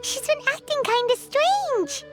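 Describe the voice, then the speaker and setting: A woman voicing a tiny, excitable childlike fairy companion in an anime game, squeaky and exclamatory. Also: unhurried, heavily acted. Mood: worried, curious. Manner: exclamatory, refers to self in third person. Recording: game music behind the voice.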